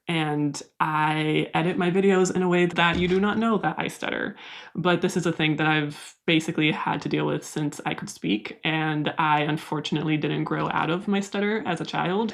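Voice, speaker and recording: A woman's voice: low voice, vocal fry. A young woman talking casually and candidly to her viewers while she repots and stakes houseplants. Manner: soft, candid, confiding. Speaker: plant vlogger.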